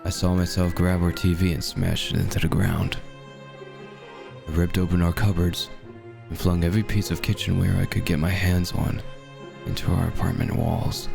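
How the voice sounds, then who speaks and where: A man reads a creepypasta in a very deep voice with a gravelly edge. His delivery is measured and dramatic, built for dread. Dark music plays clearly behind him. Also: level tone. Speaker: horror story narrator. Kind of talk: scripted creepypasta narration in the first person. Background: dark background music.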